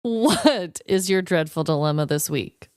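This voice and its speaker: A woman's voice: lowish voice, animated, a little slow, clear, resonant tone, no echo, studio quality. A woman co-hosting a podcast in casual chat, reacting in a playful, supportive way. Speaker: podcast co-host.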